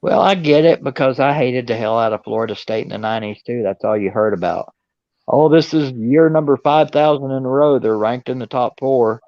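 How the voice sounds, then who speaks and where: A man's voice: medium pitch, fairly quick, no room sound, lo-fi sound. A man joining a casual livestream chat, wry and sarcastic, swearing casually.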